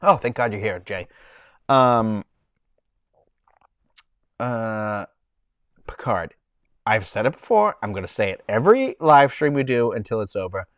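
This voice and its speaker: A man's voice: fairly low pitch, lively intonation, unhurried, pauses often, no echo. A man with a Boston accent, hosting a casual livestream from home and chatting in a rambling way while he reads the chat. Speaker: livestream host.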